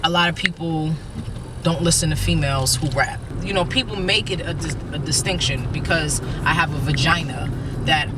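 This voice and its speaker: A woman's voice: low voice, far from the mic. New woman chatting over traffic. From New York. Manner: relaxed, chatty, frequent ums. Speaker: rapper. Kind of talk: casual street interview. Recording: outdoor traffic noise.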